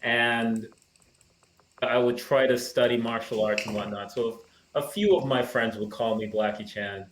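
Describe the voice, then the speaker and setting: A man's voice: mid-range voice, steady tone, a little slow, fairly bright tone. A man from Barbados with a mild mix of Caribbean and American accent, talking about his life as a guest in an easygoing, reflective way with frequent fillers. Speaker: screenwriter guest. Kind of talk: relaxed interview conversation. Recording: echoey room with faint ambient noise.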